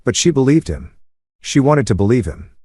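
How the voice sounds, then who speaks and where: A man narrating a first-person story in a steady, measured way. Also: mid-range voice, breathy edge, dry recording, clean studio sound.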